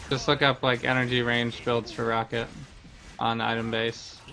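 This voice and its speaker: A man's voice: medium pitch, little pitch movement, moderate pace, gravelly, pushed and bright, low-quality recording. A man giving casual gameplay commentary as a streamer, explaining things in a conversational way. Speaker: game streamer.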